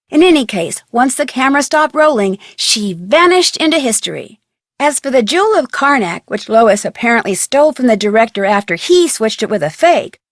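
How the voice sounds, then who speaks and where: A woman's voice: a little high, dry recording, studio quality, natural voice. An actress playing an upbeat young amateur detective, chatty and wry, narrating her case in a mystery game. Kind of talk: scripted first-person narration for a mystery game.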